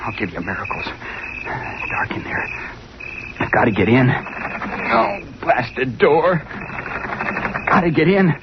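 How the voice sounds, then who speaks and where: A muffled, very noisy old radio recording carries an obsessive young student. His delivery swings widely in pitch, intense and halting, circling back over the same phrases like someone coming unhinged. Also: big pitch swings. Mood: frenzied. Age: young adult. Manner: intense, hesitant, repetitive. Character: obsessive, unhinged young male college student bent on miracles. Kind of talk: old-time radio horror acting.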